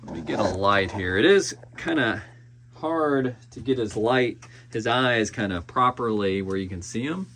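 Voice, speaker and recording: A man's voice: on the low side, unhurried, fairly bright tone, a little hiss or hum. A man giving a casual, informal collector's product review, speaking hesitantly as he trails off and circles back over his points.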